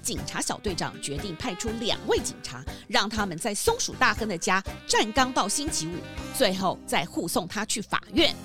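A woman narrating a children's story in an animated storytelling style. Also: mid-range voice, moderate pace, few pauses. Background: music.

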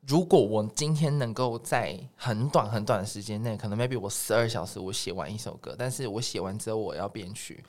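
A man with a Taiwanese accent chatting casually as a guest music producer, telling things in an animated way and quoting people in dialogue. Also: fairly low pitch, fairly quick, hardly any pauses. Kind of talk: casual podcast interview.

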